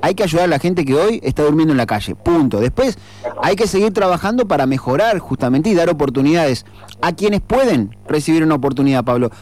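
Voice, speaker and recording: A man speaks as a radio host, his voice sitting a little high for a man. He talks earnestly and reflectively. He often halts on fillers and repeats words while he thinks. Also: a little mellow. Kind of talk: radio commentary.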